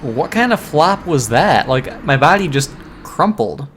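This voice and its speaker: A man commentating his own game playthrough, relaxed and chatty, a bit hoarse from losing his voice. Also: on the high side, unhurried, a little hiss or hum. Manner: relaxed, chatty, talks to viewers. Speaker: gaming commentator. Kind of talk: let's play commentary.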